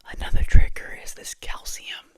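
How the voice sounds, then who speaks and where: An ASMR host talking casually and hesitantly in a soft, breathy, husky whisper, rambling in a chatty way. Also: normal speed. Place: a room at home.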